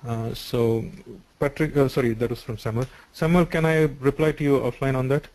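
A man on a video call who explains software features step by step, with frequent "uh" and "so". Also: medium pitch, steady tone, normal speed, no room sound. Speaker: software developer answering questions.

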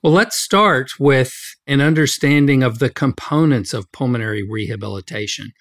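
A man hosting a medical podcast interview, speaking in a formal, measured, scripted way. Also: medium pitch. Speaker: podcast host, medical journal editor.

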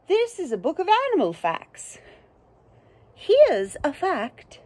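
A bossy, exasperated storybook narrator read by a woman declares animal facts and scolds. The delivery is slow and deliberate, with big swings in pitch. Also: exaggerated voice. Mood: insistent. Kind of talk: children's storybook reading.

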